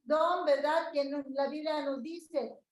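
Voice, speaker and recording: High-voiced woman interpreting a sermon. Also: steady tone, slow, echoey room. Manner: fluent, repeats and expands, addresses brethren.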